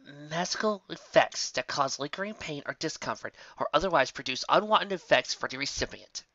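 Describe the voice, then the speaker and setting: A man's voice: a little high, lively intonation, moderate pace, pushed and bright, no room sound, dull audio. A man voicing an earnest, firm young female unicorn musician, speaking matter-of-factly in a story.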